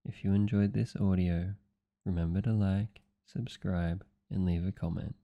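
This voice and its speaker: A man's voice: low voice, steady tone, normal speed, creaky, phone-quality sound. A British man, an audio roleplay performer, speaking directly to his listeners in a sign-off. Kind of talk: closing thanks to listeners.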